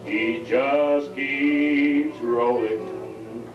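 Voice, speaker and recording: A man singing a slow show tune at a family reunion, with long drawn-out phrases. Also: mid-range voice, fairly even intonation, over music. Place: reunion hall. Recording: dull lo-fi home video, roomy hall, mic far off.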